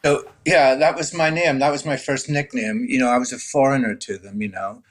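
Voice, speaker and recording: A man's voice: medium pitch, steady tone, brisk. Man in his sixties telling his life story. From Northern Ireland. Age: 60s. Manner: conversational, many "you know" fillers. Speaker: guest. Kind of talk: candid storytelling.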